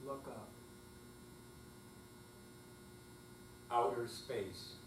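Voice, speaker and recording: A man's voice: mid-range voice, a little slow, lightly acted. A man playing a tender, wistful old grandfather with plain, folksy phrasing on stage. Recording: distant, echoey room with faint mains hum.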